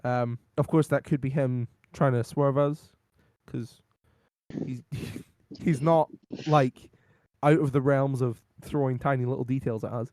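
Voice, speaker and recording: Hoarse man rambling casually. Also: medium pitch, steady tone, measured pace, a little mellow, dry recording. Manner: rambling, lots of ums and laughs. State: hoarse, losing his voice. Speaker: podcast co-host. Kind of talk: casual wrestling recap chat.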